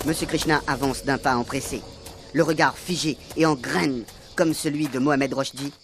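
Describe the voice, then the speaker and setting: A man's voice: medium pitch, steady tone, normal speed, vocal fry, noisy background. A man reads a scripted radio serial narration in a mock-dramatic storytelling style. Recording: music under the voice.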